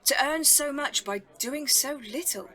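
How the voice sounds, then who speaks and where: A woman's voice: high-pitched, a little slow, sharp tone. A British woman playing an envious, self-doubting press photographer, grumbling earnestly in an audio drama. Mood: indignant, envious.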